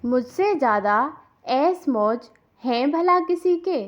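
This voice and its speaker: Woman acting a high-pitched haughty rich daughter-in-law. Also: expressive, slow, dull audio. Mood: haughty, scornful. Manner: boastful, scornful. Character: haughty rich daughter-in-law, boastful and quick to take offence. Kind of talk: dramatised Hindi cartoon moral story.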